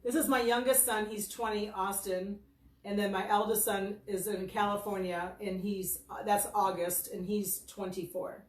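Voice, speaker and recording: A woman in her fifties with an American accent speaks at a middling pitch. She tells personal stories in a loose, rambling, self-deprecating way, as if on a casual livestream. The sound is roomy and a little distant from the microphone. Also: fairly even intonation, normal speed. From Michigan, United States. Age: 50s. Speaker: host of her birthday livestream. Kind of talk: casual livestream storytelling and question answering. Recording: roomy echo, distant from mic.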